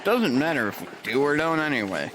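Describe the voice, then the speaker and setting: A man's voice: fairly high pitch, big pitch swings, fairly quick, fairly bright tone, slight background noise, poor audio. An actor playing an easygoing, wry married male nurse in an audio drama. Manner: laid-back, wry.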